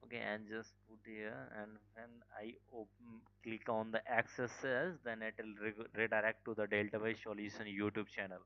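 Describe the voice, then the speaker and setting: A man's voice: fairly low pitch, monotone, moderate pace, hardly any pauses. A man teaching a live online security class, informal, full of fillers and "okay". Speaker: cybersecurity instructor. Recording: dull lo-fi call audio.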